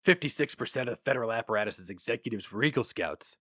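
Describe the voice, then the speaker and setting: A man voicing a brash, officious office boss in his thirties, brisk and condescending. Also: medium pitch, lively intonation, fairly bright tone, no room sound, studio quality. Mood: gruff, bored. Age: 30s.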